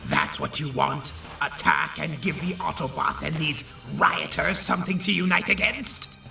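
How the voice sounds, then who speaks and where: An actor playing a scheming, sneering robot villain with a sharp, raspy, mocking voice. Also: male voice, fairly high pitch, sing-song, measured pace, reverberant, distant mic, soft background music, heavily acted. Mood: scornful.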